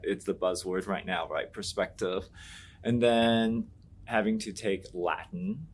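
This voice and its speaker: A man from Taiwan whose first language is Mandarin speaks in a low voice, answering like a teacher who means every word. He circles back, restarts and leans on little fillers as he thinks. A faint background noise sits under the line. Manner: earnest, thinking aloud with many "you know" fillers and restarts. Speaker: Latin teacher, interview guest. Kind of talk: reflective interview answers.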